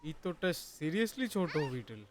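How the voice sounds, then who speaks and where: A man's voice: on the high side, very animated, rapid-fire, soft background music. A man who is a native Bengali speaker, giving playful, chatty, casual commentary as a gaming streamer. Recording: faint background noise.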